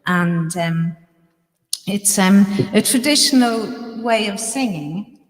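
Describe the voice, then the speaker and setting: A woman's voice: mid-range voice, takes it slowly, long reverb tail, poor audio. A woman with an Irish accent, a guest musician chatting casually between songs, giggly and full of ums. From Ireland. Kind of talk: casual chat between songs on a live stream.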